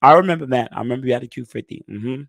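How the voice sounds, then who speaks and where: A man in his thirties hosting a casual live stream Q&A, talking in a laid-back, conversational way, his voice a bit hoarse as he gets it back. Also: medium pitch, very fast, a bit bright, no room sound, studio quality. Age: 30s. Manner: laid-back, conversational, frequent "you know what I'm saying". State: hoarse, recovering lost voice. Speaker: channel host answering live chat.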